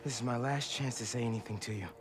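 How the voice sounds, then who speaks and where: An actor playing an earnest, wounded but resolute young superhero in a video game cutscene. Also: male voice, mid-range voice, fairly even intonation, fast talker, lightly acted. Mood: pained, resolute.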